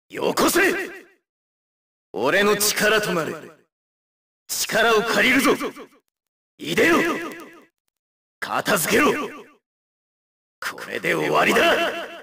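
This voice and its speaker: Forceful, gravelly anime fighter. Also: male voice, high voice, no echo, clean studio sound, heavily acted. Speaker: video game voice actor. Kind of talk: anime-style game battle voice clips.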